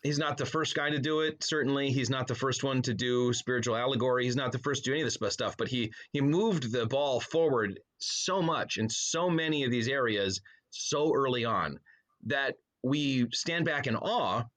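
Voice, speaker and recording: A man's voice: mid-range voice, normal speed, fairly bright tone. Middle-aged man, colloquial podcast guest. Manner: jokey, colloquial, buddy-like. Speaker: podcast guest, author and pastor. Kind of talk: casual conversational interview.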